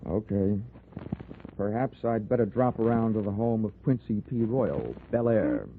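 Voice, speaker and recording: Wisecracking hard-boiled radio detective, tinny sound. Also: male voice, fairly low pitch, expressive, unhurried, noisy background. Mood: firm, sardonic. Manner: wry, tough-talking, pushy. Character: wisecracking, hard-boiled male insurance investigator, lone private eye. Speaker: lead actor and narrator. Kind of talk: 1940s radio detective acting and first-person narration. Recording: tinny 1940s radio recording with music.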